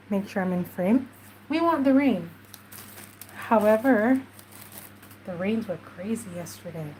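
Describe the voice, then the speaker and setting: A woman's voice: mid-range voice, a little mellow, a little hiss or hum, low-quality recording. A woman with a stuffy nose, chatting casually through a craft tutorial while she works. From Jamaica. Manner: chatty, unhurried, talks while working. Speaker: craft journaling host.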